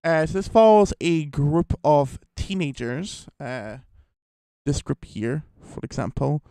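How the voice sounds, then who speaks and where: A man's voice: a little high, slow pace, warm tone, clean tone, dry recording, studio quality. A man giving a casual, rambling film review, full of fillers and restarts.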